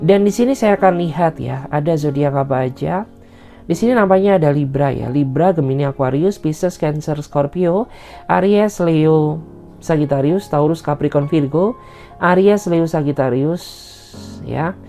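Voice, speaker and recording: A woman's voice: low-pitched, normal speed. A woman tarot reader with an Indonesian accent, chatting casually and speaking the feelings of the other person in the first person. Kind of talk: casual tarot reading monologue. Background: soft guitar music.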